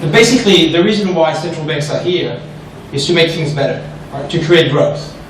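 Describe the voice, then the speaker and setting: A man with a French accent gives an animated investment seminar to an audience, often checking in with "right?". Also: fairly high pitch, moderate pace, a little hiss or hum. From France. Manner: animated, asks the audience questions, frequent "right?". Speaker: investment specialist presenter. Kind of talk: seminar presentation. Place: large echoey room with an audience. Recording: echoey room from far off.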